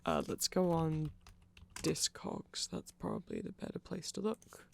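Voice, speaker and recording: A man's voice: on the high side, huge pitch swings, unhurried, gravelly, breathy edge. A man giving a nerdy, rambling ASMR explanation, often correcting himself and checking in with the listener. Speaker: ASMR creator.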